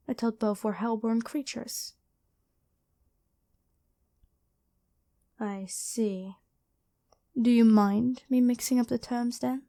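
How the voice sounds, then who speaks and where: A woman playing a gentle, dutiful angel medic in an ASMR roleplay, speaking soothingly and caringly to one listener. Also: fairly high pitch. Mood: calm, curious.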